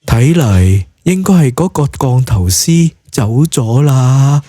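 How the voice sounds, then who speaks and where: Cantonese guy narrating a story, slow, super mellow n dark tone, dry sound. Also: mid-range voice, animated, dry recording. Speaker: audiobook narrator.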